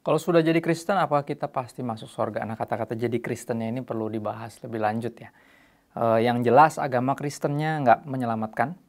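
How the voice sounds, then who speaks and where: Gravelly-voiced male church show host. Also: medium pitch, a little fast. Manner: relaxed slangy delivery, quoting scripture. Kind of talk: casual preaching talk.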